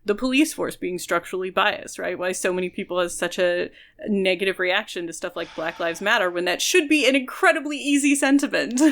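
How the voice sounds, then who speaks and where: A woman's voice: mid-range voice, a little fast, a bit bright. A woman co-hosting a podcast, explaining things earnestly in casual conversation. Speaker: podcast co-host. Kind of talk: casual discussion.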